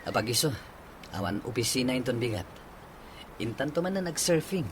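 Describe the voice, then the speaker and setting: A man's voice: medium pitch, lively intonation, brisk, lightly acted. A man acting a cocky young friend in an Ilocano radio drama, speaking with an Ilocano accent. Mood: casual, challenging. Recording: noisy radio drama recording, ambient sound effects.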